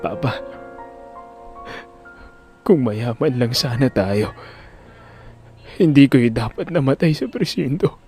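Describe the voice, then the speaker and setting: A man's voice: mid-range voice, expressive, slow pace, long pauses, mellow, faint music behind. A man acting an anguished, lamenting young working-class son in an audio drama. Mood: bitter grief. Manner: emotional, lamenting.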